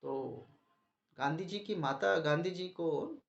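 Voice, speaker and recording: A man's voice: fairly high pitch, takes it slowly, gravelly. Guy with a sore throat preaching, asks questions then answers them himself, dull echoey sound. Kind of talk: devotional talk to a gathering. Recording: muffled, echoey room.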